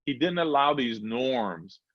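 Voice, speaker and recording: A man's voice: lively intonation, slow pace, no room sound. An earnest man lectures to a community gathering in a mid-range voice, often slipping into a retold story. The audio is dry and close, with a slightly dull, lo-fi tone. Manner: earnest, storytelling, raises pitch for quoted voices. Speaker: speaker at a faith community program.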